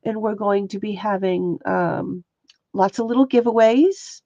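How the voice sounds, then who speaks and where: A woman's voice: medium pitch, measured pace, dark tone, dry recording, highs cut off. A woman with a stuffy, sniffly nose hosting a casual crafting livestream and chatting with viewers by name. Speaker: livestream host and textile artist. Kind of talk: casual livestream chat while showing stitching.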